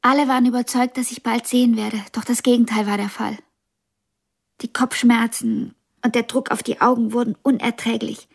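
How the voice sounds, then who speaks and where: An actress in a radio drama playing a blind young pianist, wounded yet ambitious and frank, with a halting, intense delivery. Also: female voice, on the high side, level tone, vocal fry, breathy edge. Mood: suffering.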